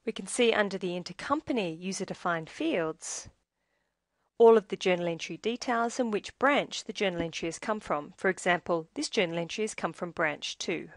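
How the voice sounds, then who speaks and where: Low-pitched woman narrating a software tutorial, dry sound. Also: moderate pace, no echo. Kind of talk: scripted instructional narration.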